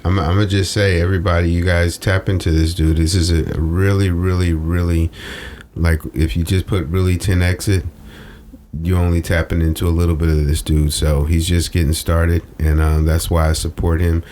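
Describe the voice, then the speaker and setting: A man's voice: sits low, flat delivery, normal speed, a little mellow, slight background noise. A man talking casually as an interview guest, slangy and emphatic, repeating phrases for effect. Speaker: interview guest, social media strategist. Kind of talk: animated casual talk.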